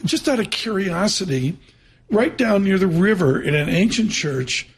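Husky high-voiced man hosting. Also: lively intonation, normal speed. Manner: loose, chatty storytelling with asides. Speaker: morning show host. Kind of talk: casual chat.

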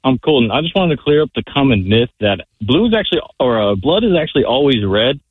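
Husky male caller on the phone. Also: medium pitch, big pitch swings, quick pace, no room sound. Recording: phone line.

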